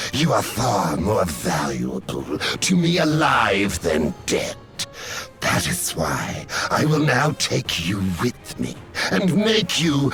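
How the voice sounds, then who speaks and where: An actor playing a megalomaniac video game villain, gloating and mocking in a grandiose drawl. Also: male voice, fairly low pitch, a bit bright, heavily acted. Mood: triumphant, grandiose.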